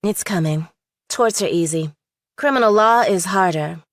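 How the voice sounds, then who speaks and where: A woman's voice: lowish voice, normal speed, no echo, studio quality, natural voice. A woman voicing a skeptical young law student in an audiobook, clipped, probing and lawyerly. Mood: dry, skeptical. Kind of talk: audiobook character dialogue.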